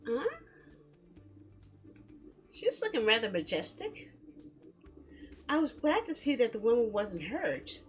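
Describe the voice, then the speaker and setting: A woman streaming a game in a casual, expressive, chatty way, reading story text aloud and reacting to it. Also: on the high side, takes it slowly, long pauses. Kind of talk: casual live let's play, reading visual novel text aloud. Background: background music.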